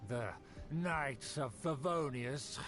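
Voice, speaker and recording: An actor playing a haughty, scheming aristocrat in a video game, with pompous, theatrical and formal diction. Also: male voice, fairly high pitch, slow, over music. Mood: haughty, smug. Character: haughty, scheming male aristocrat obsessed with family prestige. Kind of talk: video game character dialogue.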